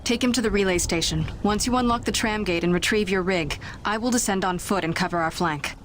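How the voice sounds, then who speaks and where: A woman's voice: medium pitch, a little fast, a little hiss or hum, lightly acted. A woman playing a guarded, blunt young colonist fighter in a game cutscene, terse and slightly formal. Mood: dry, blunt. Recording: loud music behind the voice.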